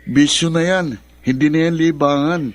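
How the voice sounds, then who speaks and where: A man's voice: a little high, steady tone, normal speed, dry recording, natural voice. A man playing a bitter, sarcastic young adult son who talks accusingly in a radio drama. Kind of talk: Filipino radio drama acting.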